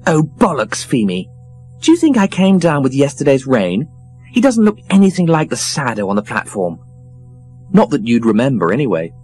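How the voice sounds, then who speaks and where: A man's voice: mid-range voice, wildly swooping pitch, moderate pace, a little mellow, husky, faint music behind, pitched above the performer's own voice. A man reading a story, voicing a brash, mocking young woman who swears freely and gushes over men. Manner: scornful, gushing, swearing freely.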